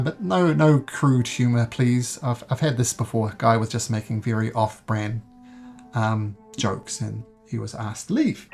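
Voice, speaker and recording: A man from New Zealand with a New Zealand accent tinged with North American, speaking earnestly as dungeon master over a voice call, with frequent ums and restarts. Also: medium pitch. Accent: New Zealand mixed with North American. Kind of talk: explaining game rules to players. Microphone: voice call microphone.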